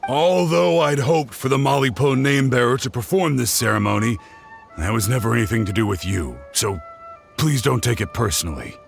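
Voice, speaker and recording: An earnest, reflective village scribe talks with a gravelly edge and lively, swooping pitch. Game music plays behind him. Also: male voice, normal speed. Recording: game audio over music.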